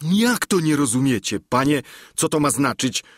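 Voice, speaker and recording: A man's voice: mid-range voice, sing-song, moderate pace, no echo, clean studio sound, pitched above the performer's own voice. A man voicing a simple, earnest peasant squire who talks in a blunt, folksy, pleading way.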